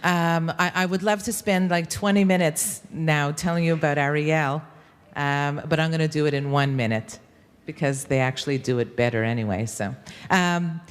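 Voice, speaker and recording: A woman leading a youth group, talking to an assembly in a relaxed, conversational way with small restarts. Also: sits low, moderate pace, clear, resonant tone. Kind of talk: speaking to an audience in a church assembly. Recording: reverberant hall, faint crowd.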